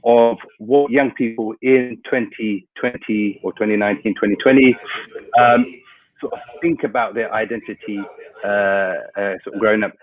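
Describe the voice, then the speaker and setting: A man's voice: mid-range voice, no room sound. Man on a thin call line. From London, England. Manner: hesitant, many fillers and restarts. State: hay fever, may sneeze. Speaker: guest speaker from an international organisation. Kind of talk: webinar opening remarks. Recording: thin phone-like webinar audio, dry.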